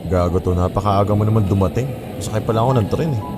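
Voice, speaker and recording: A man's voice: fairly low pitch, fast talker, over loud music, natural voice. A man playing a young office worker in naturalistic, conversational audio drama dialogue. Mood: casual, teasing. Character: casual young office worker chatting on his commute.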